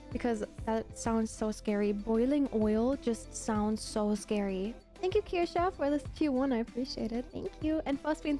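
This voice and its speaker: A woman from Germany, whose native language is German, chats casually to her livestream viewers. Her voice sits somewhat high but is very mellow and soft in tone. She talks in a gushing, emphatic way, often repeating herself, with faint music behind her. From Germany, grew up in Berlin. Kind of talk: casual chat with live viewers.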